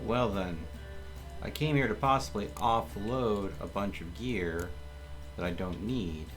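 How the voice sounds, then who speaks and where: A man's voice: lowish voice, a little slow, faint music behind. A man giving casual video game commentary as a streamer, musing aloud as he plays.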